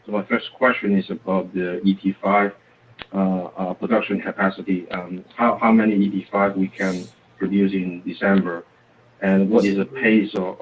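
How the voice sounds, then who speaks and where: A man who is a native Chinese speaker, asking questions as an analyst on a conference call. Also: low voice. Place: remote phone conference. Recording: dull conference-call line with faint noise.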